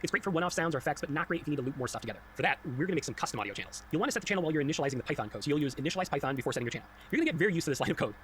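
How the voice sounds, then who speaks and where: A man's voice: a little high, expressive, very fast, hardly any pauses, a bit bright, a little hiss or hum. A man hosting a tutorial, reading scripted narration with casual, informal, slightly wry asides. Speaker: tutorial host and solo game developer.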